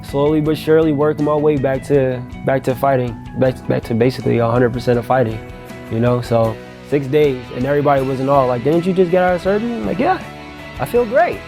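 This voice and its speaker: A man giving an excited, quick patient testimonial. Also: mid-range voice. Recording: music bed under voice.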